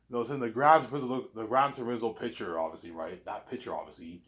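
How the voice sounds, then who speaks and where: A man's voice: on the low side, brisk, a bit bright. A man with a slight Canadian accent talking in a rambling casual monologue, often restarting and tagging on "obviously". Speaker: vlogger.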